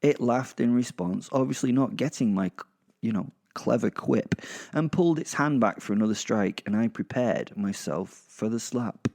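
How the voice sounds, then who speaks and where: A man's voice: medium pitch, normal speed, vocal fry, a little mellow, natural voice. A British man voicing a sardonic, vengeful, foul-mouthed werewolf who narrates a horror-comedy audio drama in a rambling, menacing way. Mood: bitter menace.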